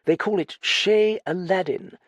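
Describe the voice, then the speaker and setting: A man voicing a naive, flustered young gentleman with hesitant, stammering upper-class slang. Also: high-pitched, measured pace, no echo, voice pushed up. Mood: chatty, awkward. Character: plump young English gentleman, naive, flustered, rather foolish.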